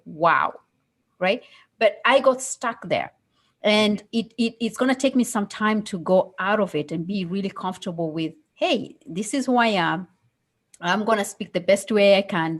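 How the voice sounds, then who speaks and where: A woman with a Somali accent co-hosting a radio talk show, telling candid personal stories earnestly. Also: mid-range voice. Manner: earnest, candid storytelling with frequent "okay?". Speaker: radio co-host. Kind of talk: casual radio chat.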